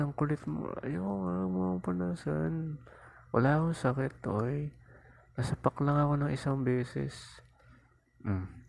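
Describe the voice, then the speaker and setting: A man's voice: on the high side, creaky, dark tone, exaggerated voice. A man playing a cocky, teasing young boyfriend in an intimate ASMR roleplay, with a Filipino accent and a playful, coaxing manner. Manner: playful, coaxing, chuckling, mock-whining. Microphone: lapel mic into mobile phone, close.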